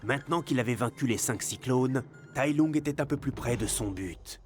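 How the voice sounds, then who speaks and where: An actor narrating a game's epic tale in a dramatic scripted storytelling style with wry humour. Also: male voice, mid-range voice, normal speed, faint music behind, lightly acted.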